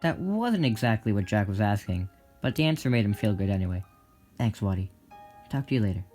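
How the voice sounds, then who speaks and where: A man narrates a scripted story as part of an audio drama. His voice sits a little low, and plucked guitar music plays clearly behind him. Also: big pitch swings, fairly quick, a little mellow.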